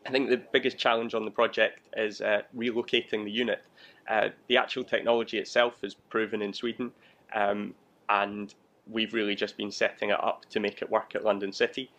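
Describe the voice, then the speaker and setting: A man in his thirties talks about his job as in an interview. His voice is on the low side with a fairly even melody and noticeable vocal fry. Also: a little fast. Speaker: air traffic control watch manager. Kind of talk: interview, explaining his work.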